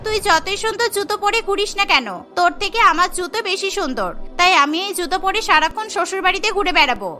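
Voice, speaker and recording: Young cartoon bride, played by a woman, extremely high, bright voice, boastful, haughty, pushy. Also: expressive, fast talker, hardly any pauses, heavily acted. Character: vain, spiteful, boastful young elder daughter-in-law. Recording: music bed behind the voice.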